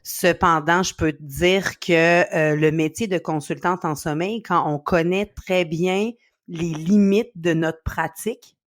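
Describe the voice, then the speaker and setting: A woman's voice: lowish voice, fairly even intonation, unhurried. A middle-aged woman from Quebec with a Québécois accent, a sleep consultant speaking earnestly in an interview, with many fillers. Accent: Québécois French. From Quebec, Canada. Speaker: sleep consultant and company founder. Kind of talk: podcast interview.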